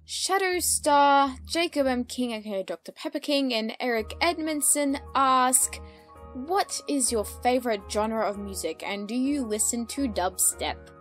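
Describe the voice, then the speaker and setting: A woman's voice: sits high, lively intonation, unhurried. A woman in a casual solo question-and-answer chat, speaking expressively with playful exasperation as a YouTuber. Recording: background music behind the voice. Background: music.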